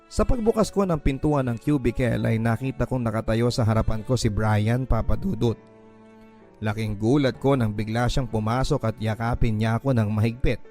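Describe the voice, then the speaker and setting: A man's voice: mid-range voice, normal speed, warm tone. A man with a Filipino accent narrating a first-person letter as dramatic radio storytelling. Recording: background music.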